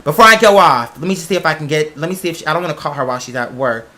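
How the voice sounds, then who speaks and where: A man's voice: fairly high pitch, expressive, rapid-fire, bright, forceful tone. A man hosting a casual talk show, telling personal stories in a chatty, emphatic way with self-questioning asides. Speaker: podcast host. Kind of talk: casual personal storytelling monologue.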